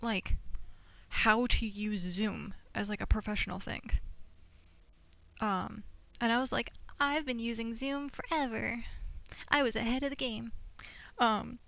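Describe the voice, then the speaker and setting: Bright-voiced young woman streaming, rambling casually. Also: a little high, unhurried, gravelly, no echo, muffled recording. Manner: rambling, self-distracting, thinking aloud. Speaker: live streamer. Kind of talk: casual gaming livestream chat. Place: indoor room at home.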